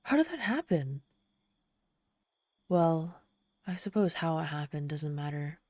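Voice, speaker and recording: A woman's voice: sits low, very animated, darkish tone, dry recording, studio quality, full character voice. A woman playing a proud, easily flustered female demon in an ASMR roleplay, telling her story in a haughty, theatrical manner. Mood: dismayed, flustered. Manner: haughty, theatrical, halting when embarrassed.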